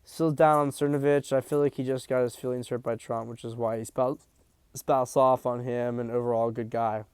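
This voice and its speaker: A man's voice: medium pitch, little pitch movement, moderate pace, darkish tone, smooth, no room sound. Blunt, profane male political commentator. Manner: blunt, profane, emphatic with repeated restarts. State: hiccups.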